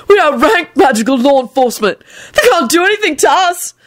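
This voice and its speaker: A reckless, jubilant man, acted out by a woman narrating an audiobook. The voice leaps up high and swoops about, excitable and full of rebellious glee. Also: fairly quick, no room sound, clean studio sound. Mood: gleeful. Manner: excitable, gleeful. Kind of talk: audiobook character dialogue.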